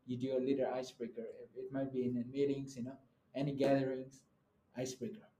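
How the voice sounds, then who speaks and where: A young man from Nepal co-hosting a student podcast in a relaxed, chatty way. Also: medium pitch, fast, echoey room, slight background noise, rough recording. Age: young adult. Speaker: podcast co-host. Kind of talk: casual podcast chat.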